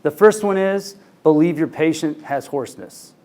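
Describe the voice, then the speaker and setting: A man's voice: a little high, normal speed, warm tone. Man lecturing to clinicians. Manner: conversational, anecdotal, frequent fillers. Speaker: ENT physician lecturer. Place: conference room with audience. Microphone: handheld microphone.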